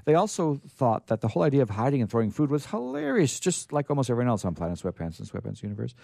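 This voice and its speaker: A man's voice: mid-range voice, big pitch swings, fast talker, gravelly, warm tone, no room sound. A man hosting a radio show, reading a story aloud live in a casual way and stumbling now and then into asides.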